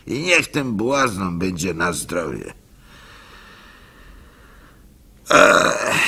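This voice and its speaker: Gravelly, animated village simpleton, radio play. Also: male voice, low voice, full character voice. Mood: resigned, earnest. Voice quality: husky, gravelly. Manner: halting, stammering repeats, chuckles, acts out hecklers. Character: gullible male village simpleton and orphan, good-natured, telling his story. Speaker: actor narrating a monologue. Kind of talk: dramatized radio monologue of a short story.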